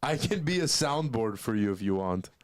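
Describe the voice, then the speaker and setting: A man's voice: lowish voice, very animated, brisk, no echo, clean studio sound. A man co-hosting a livestream, joking and teasing in casual chat. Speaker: co-host.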